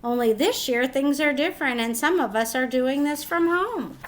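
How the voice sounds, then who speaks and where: A woman teacher reading a picture book to young children, expressive and instructive, pausing to ask questions. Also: high-pitched. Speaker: teacher reading aloud to pupils.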